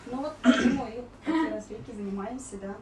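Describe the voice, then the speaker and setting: Female vocal coach, higher voice, explanatory and demonstrative, distant in an echoey room. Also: fast, slight background noise. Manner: explanatory, demonstrating vowels and sung warm-ups. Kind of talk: informal spoken singing lesson with explanations. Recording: roomy echo, distant mic, music behind.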